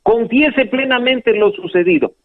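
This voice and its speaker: A man's voice: extremely high pitch, normal speed, no echo. A male lawyer, speaking as a radio guest over the phone and explaining legal points in a composed way, with the odd hesitation. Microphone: telephone line. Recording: dry, tinny phone line.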